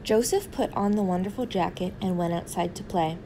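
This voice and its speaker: Mellow woman reading a children's story. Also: fairly low pitch, brisk, a little hiss or hum, low-quality recording. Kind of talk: read-aloud picture book narration.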